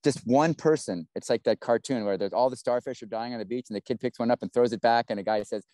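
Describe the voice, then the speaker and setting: Male host on a video call, warm rambling encouragement, clear dry sound. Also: mid-range voice, fast talker, dry recording. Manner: rambling, encouraging, many restarts. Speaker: webinar host. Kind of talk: casual hosting on a video call.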